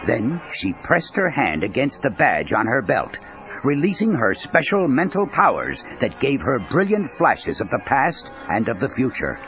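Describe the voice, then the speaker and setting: Male narrator, children's read-along story, animated delivery, music underneath. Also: medium pitch, unhurried. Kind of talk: scripted dramatic storybook narration. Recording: dull cassette with music underneath. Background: background music.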